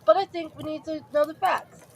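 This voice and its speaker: Woman as worried wizard dad, high-pitched and earnest, insistent but hesitant, audio drama, faint noise behind. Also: fairly even intonation, fairly quick. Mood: uneasy, earnest. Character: worried, earnest middle-aged father and ministry wizard. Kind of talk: fan audio drama acting.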